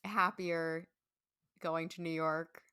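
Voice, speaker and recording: Woman guest chatting casually, voice a bit low, really dry sound no room. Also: little pitch movement, slow, bright, forceful tone, clean tone, no room sound. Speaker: podcast guest, stage performer. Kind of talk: casual chat.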